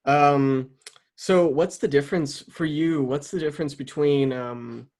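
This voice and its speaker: A man's voice: fairly high pitch, steady tone, unhurried, a little mellow. A man hosting a casual interview, talking at length in an enthusiastic, chatty way. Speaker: interviewer and series writer.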